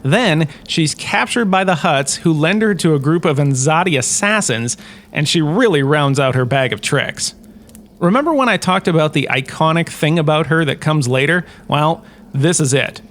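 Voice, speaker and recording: A man's voice: fairly high pitch, animated, normal speed, fairly bright tone. A man playing a pompous, touchy sci-fi university lecturer, talking in a wry, conversational storytelling way with theatrical indignation. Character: pompous, touchy, chatty male sci-fi university lecturer on famous bounty hunters. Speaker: host, lecturer. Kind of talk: scripted comic in-character lecture.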